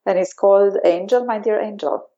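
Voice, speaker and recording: A woman's voice: medium pitch, moderate pace, warm tone, muffled recording. A woman from Romania with a Romanian accent, a yoga teacher speaking in an interview, hesitant with many fillers. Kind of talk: remote interview.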